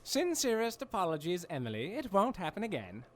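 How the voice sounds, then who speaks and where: An actor playing a giddy, tactless mad scientist in a radio drama, theatrical and cheerfully pompous. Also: male voice, high-pitched, huge pitch swings, a little fast, background noise. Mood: contrite.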